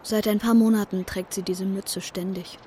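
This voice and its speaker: A woman's voice: medium pitch, steady tone, moderate pace, dark tone, slight background noise, close to an everyday voice. An actress playing an observant, wry teenage girl narrating an audio drama in the first person. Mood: wry, hushed. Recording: clear vehicle ambience behind.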